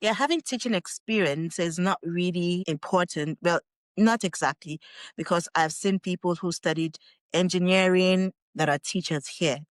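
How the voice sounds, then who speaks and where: A young Nigerian woman, a guest on an interview podcast, telling her story in a relaxed, chatty way and laughing often. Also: on the low side, moderate pace, dry recording. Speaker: guest, English teacher in Japan.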